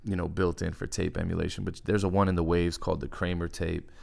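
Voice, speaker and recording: A man giving a casual music software tutorial in a laid-back, slangy, rambling way, as host. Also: deep voice, level tone, quick pace, gravelly. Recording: loud music over the voice. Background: hip hop beat and synth playback.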